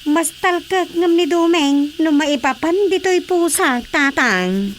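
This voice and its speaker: A grown daughter in a radio comedy, talkative and mildly exasperated. Her voice is high, with an Ilocano accent, over faint background noise. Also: slow pace. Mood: explaining. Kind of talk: radio comedy drama acting.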